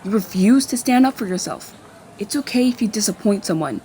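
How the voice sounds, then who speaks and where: A woman's voice: medium pitch, expressive, a little fast, mellow, slight background noise, lightly acted. A woman acting a hot-tempered, jealous young fairy in an amateur roleplay drama, speaking in a pointed, confrontational way. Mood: hurt, defiant.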